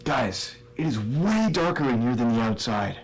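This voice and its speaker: A man voicing a goofy male monster hunter in an indie horror game cutscene, slow and mock-dramatic, talking to his viewers. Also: medium pitch, sing-song, slightly rough. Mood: mock-spooky.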